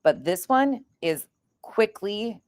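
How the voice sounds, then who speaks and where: A woman's voice: mid-range voice, expressive, slow, darkish tone, no room sound. A woman streamer giving blunt, profane, scornful commentary in casual reaction chat.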